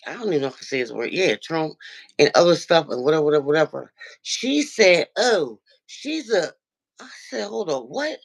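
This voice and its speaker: A man with a deep, nasal voice who calls into a livestream and tells a story, acting out what other people said. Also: a little fast, vocal fry. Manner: animated storyteller, acts out quoted dialogue. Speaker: regular viewer calling into a livestream. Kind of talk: casual chat, retelling an online argument.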